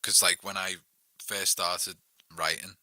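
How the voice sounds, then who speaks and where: Bright, deep-voiced Liverpool man chatting. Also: flat delivery, slow, dry recording. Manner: loose, filler-heavy, lots of "like" and "you know what I mean". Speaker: songwriter guest. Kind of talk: casual chat.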